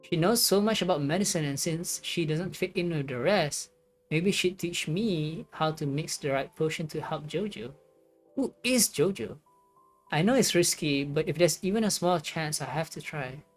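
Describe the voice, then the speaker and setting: A woman livestreaming a game, reading its text aloud and musing on it in a halting way. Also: sits low. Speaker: game streamer. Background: game music.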